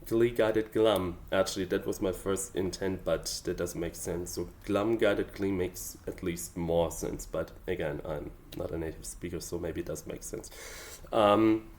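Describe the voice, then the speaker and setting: A man's voice: deep voice, fairly even intonation, unhurried, darkish tone, slight background noise. A man whose first language is German, talking through his draft song lyrics in a casual, hesitant and thoughtful way with many fillers. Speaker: hobby songwriter. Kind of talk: casual explanatory vlog.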